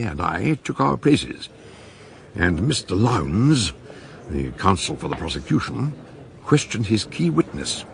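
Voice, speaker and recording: An actor playing a shrewd, genial older British doctor who narrates and talks in a detective radio drama. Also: male voice, deep voice, vocal fry, breathy edge, exaggerated voice.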